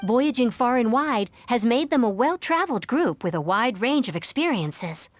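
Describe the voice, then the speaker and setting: Lady doing a calm know-it-all guide, explains stuff slowly, bit high voice. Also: soft background music, lightly acted. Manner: measured, explanatory. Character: calm, well-informed woman advising travelers. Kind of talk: video game voice acting.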